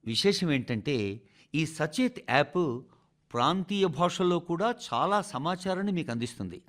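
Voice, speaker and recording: A man reading a scripted radio address in a formal, measured oratorical manner, speaking directly to the listeners. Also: fairly high pitch, expressive, fairly bright tone. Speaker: radio reader of a translated national address.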